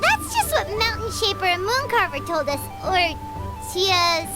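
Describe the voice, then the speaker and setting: An actress voicing a tiny floating girl companion who is chirpy and cheeky, with a high, squeaky, childlike voice and a teasing way of talking about herself by name. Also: very animated, normal speed. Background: game music.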